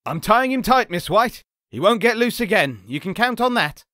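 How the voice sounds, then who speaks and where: A man's voice: high voice, animated, moderate pace, bright, forceful tone, no echo, clean studio sound. A man playing a chipper, folksy, friendly doorman in a comic dub. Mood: cheery.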